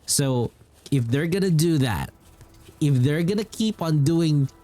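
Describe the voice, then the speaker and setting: A man's voice: on the high side, lively intonation, measured pace, warm tone. A man, casually rambling as a game streamer and repeating phrases for emphasis. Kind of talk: casual livestream chat about games. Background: music.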